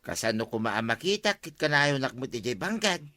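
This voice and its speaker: A man's voice: medium pitch, sing-song, moderate pace, sharp tone. A man with an Ilocano accent playing a scolding village man who trades jibes in a radio comedy drama.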